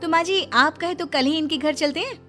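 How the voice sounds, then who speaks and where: A woman's voice: sits high, brisk, over music, voice pushed up, natural voice. A woman voicing a scheming elder daughter-in-law in a cartoon, talking in a sugary, coaxing way. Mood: sweet-talking. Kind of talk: cartoon voice acting.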